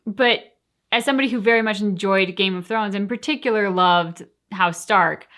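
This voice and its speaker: A woman's voice: mid-range voice, moderate pace, a bit bright. A woman co-hosting a reaction show, giving thoughtful, conversational commentary in a casual chat style. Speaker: co-host of a reaction channel.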